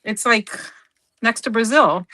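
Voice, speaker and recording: A woman's voice: a little high, a little slow, bright, forceful tone, rough recording. A woman whose first language is Spanish, co-hosting a scrapbooking livestream in casual chat, often stopping to search for words and correct herself. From United States. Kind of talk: casual chat while crafting.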